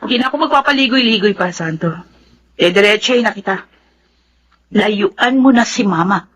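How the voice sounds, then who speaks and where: A woman's voice: mid-range voice, moderate pace, a bit bright, husky. A woman with a Filipino accent acting an aggrieved, defiant daughter in a melodramatic radio drama. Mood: accusing. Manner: confrontational, emotional, accusing. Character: defiant, aggrieved grown daughter defending her dead father.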